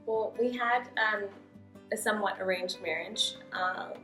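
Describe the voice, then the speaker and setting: A woman's voice: medium pitch, unhurried. A woman from the United States giving an informal, conversational personal account as an interviewee. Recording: roomy echo, guitar music underneath. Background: soft guitar music.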